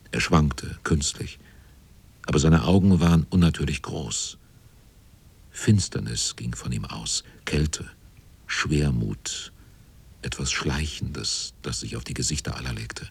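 An actor playing a sailor who narrates a radio drama in a grave, measured, brooding literary manner. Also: male voice, a bass voice, vocal fry, a little mellow, husky, exaggerated voice. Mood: grave, tense. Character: brooding sailor narrator looking back on the crew.

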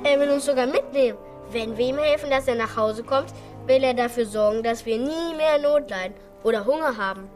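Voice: sits high, brisk, natural voice. An actor in a children's radio play voicing a proud, sulky young orphan boy, blunt and cheeky. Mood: insistent. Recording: studio radio play with faint music.

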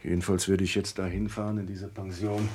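A man's voice: sits low, flat delivery, brisk, a little mellow, slightly rough. An actor playing a sceptical, wry man in his thirties, an amateur investigator in a German radio drama. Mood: wry, dismissive. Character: sceptical, wry younger brother, an architect turned amateur investigator.

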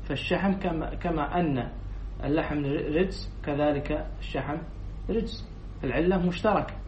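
Sheikh lecturing in an echoey hall. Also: male voice, fairly high pitch, moderate pace, darkish tone. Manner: quizzes students, then repeats their answers. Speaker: sheikh teaching a class. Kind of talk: lecture reading a text and explaining it. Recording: echoey room, distant dull mic, faint noise.

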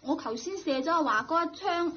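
High-pitched spoiled teenage girl. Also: animated, brisk, fairly bright tone. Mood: coaxing. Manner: whiny, coaxing, petulant. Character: spoiled, willful teenage rich girl, cheeky and headstrong. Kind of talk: old Cantonese radio drama acting. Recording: muffled, noisy old radio drama recording.

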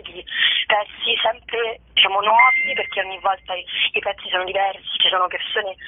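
A woman's voice: mid-range voice, no echo. A woman giving casual interview answers in a hesitant, meandering way, thinking aloud. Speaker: actress and stand-up performer being interviewed. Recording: dry, tinny phone-like narrow band.

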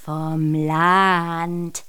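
An actress playing an odd, blunt country servant woman in a radio drama, speaking in a deliberate, repetitive way. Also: lowish voice, little pitch movement, sharp tone, clean tone, heavily acted. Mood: flat, submissive. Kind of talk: German radio drama acting.

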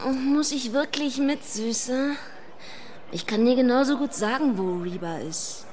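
A woman's voice: sits high, unhurried, noisy background. An actress playing a mocking, streetwise woman informant in a radio crime drama, flippant and slangy. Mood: brash, flippant.

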